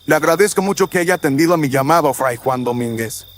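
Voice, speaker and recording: A man voicing a courteous, authoritative colonial viceroy in a radio drama, with a formal, measured, courtly manner. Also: on the high side, voice pushed up, natural voice. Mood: grave, courteous. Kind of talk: audio drama acting. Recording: faint noise with crickets and insects.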